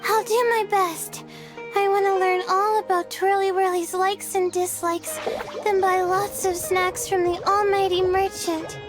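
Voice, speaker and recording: A woman voicing a gentle, timid young girl in an anime-style video game, earnest and a little hesitant in delivery. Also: very high voice, flat delivery, a little slow. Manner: soft-hearted, hesitant, earnest. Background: faint music.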